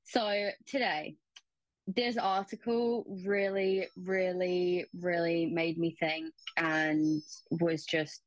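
Woman from the uk, slightly low voice, dry recording, chatting through news, hunting for words. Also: little pitch movement, takes it slowly, no echo, rough recording. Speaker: fill-in news segment contributor. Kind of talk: casual news story chat.

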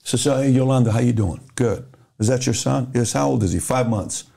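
A man's voice: mid-range voice, brisk, vocal fry. A middle-aged man from Iran, a podcast guest, telling stories in casual talk and acting out the dialogue. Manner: animated storyteller who acts out dialogue.

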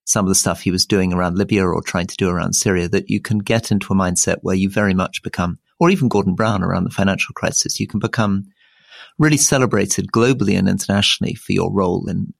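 Gravelly-voiced man discussing politics. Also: fast, no room sound, studio quality. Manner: thoughtful, digressive, rises in pitch when animated. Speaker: podcast co-host.

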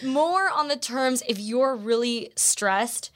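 A woman's voice: on the high side, animated, slow, fairly bright tone. A woman co-hosting a casual chat podcast, talking in an earnest, encouraging way.

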